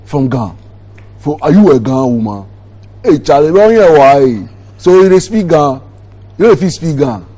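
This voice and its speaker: A man's voice: fairly high pitch, expressive, normal speed, pauses often, warm tone. A man hosting a casual interview, playful and teasing, sliding into pidgin as he jokes with his guest.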